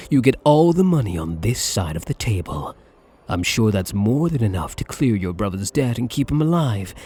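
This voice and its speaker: Man acting as suave possessive male gambler, low breathy voice, slow teasing drawl, flirtatious menace, ASMR roleplay. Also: mellow, heavily acted. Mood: seductive, possessive.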